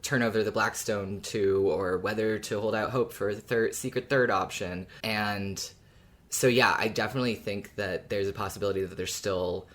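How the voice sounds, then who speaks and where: A podcast co-host talking casually and thoughtfully, explaining ideas in a measured, informal way. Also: low voice, steady tone. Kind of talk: casual fan discussion, explanatory.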